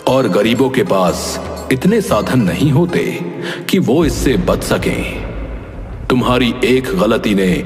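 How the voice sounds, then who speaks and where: A man playing a just, fatherly king in an animated moral story, speaking gravely and with measured authority. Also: medium pitch. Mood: stern, reproachful. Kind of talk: dramatic animated story dialogue. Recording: echoey palace-like reverb, faint music behind.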